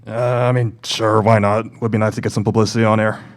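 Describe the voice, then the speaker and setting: A man's voice: fairly low pitch, little pitch movement, quick pace, lightly acted. A young man acting an offhand grocery worker and student in an amateur radio play. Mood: offhand, puzzled. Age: young adult.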